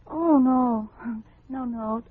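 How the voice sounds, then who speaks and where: An earnest, anxious young sweetheart from 1950s radio. Her voice is high and breathy, with a hesitant, devoted air. The vintage recording is dry and phone-like. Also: very slow, no room sound, full character voice. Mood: sheepish. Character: anxious, loyal young sweetheart of a troubled man. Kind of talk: 1950s radio drama acting.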